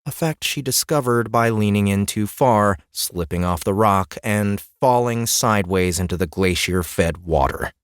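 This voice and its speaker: Low-voiced male audiobook narrator, dry sound. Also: measured pace, few pauses, clean tone, no echo. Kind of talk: scripted fantasy story narration.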